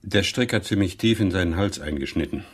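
An actor playing a professional police doctor in a German crime radio play, factual and formal. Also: male voice, low voice, a little fast. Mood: clinical. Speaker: actor in an audio drama.

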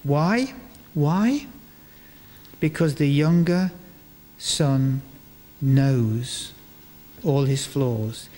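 An older man giving a sermon in a large church, speaking into a stand microphone in measured phrases with deliberate pauses. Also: on the high side, warm tone. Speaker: preacher. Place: large stone church, indoors, before a congregation. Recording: reverberant church hall, clear background noise.

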